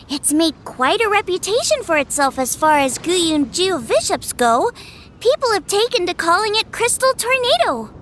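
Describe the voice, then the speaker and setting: A woman playing a bubbly, energetic, friendly young cook in a video game, perky and eager. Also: very high-pitched, background noise. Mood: explaining. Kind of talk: animated video game voice acting.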